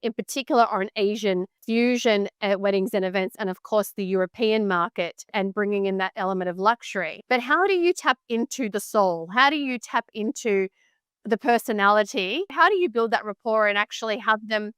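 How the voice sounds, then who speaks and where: Polished woman host, clear voice. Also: medium pitch, moderate pace, dry recording, clean studio sound. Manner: polished, articulate, warmly summarizing. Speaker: interview host. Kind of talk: hosted interview questions.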